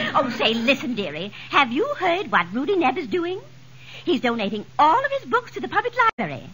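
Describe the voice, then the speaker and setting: An actress playing a catty, gushing society gossip in a 1940s radio comedy, chatty and theatrical. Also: female voice, very high-pitched, wildly swooping pitch, normal speed, heavily acted. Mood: gleeful, catty. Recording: hissy vintage 1940s radio recording.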